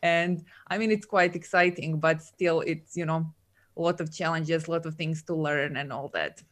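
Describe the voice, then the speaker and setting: A woman's voice: low-pitched, fairly even intonation, normal speed, a bit bright. A woman from Serbia, chatting casually as an interview guest, with lots of fillers and frequent laughs. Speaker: guest, coach and entrepreneur.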